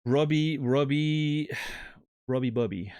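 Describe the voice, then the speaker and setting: A man's voice: a little high, takes it slowly, darkish tone, smooth, no room sound. A man streaming and chatting casually with his viewers, joking and rambling with many restarts. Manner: rambling, self-interrupting, joking. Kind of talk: casual chat with live chat.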